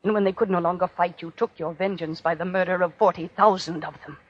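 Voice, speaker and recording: A woman's voice: fairly low pitch, fairly even intonation, quick pace, natural voice. A woman playing a defiant condemned prisoner, solemnly accusing her captor in incantatory, repetitive 1940s radio drama oratory. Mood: solemn, righteous. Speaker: actor. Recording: dull, noisy old radio recording.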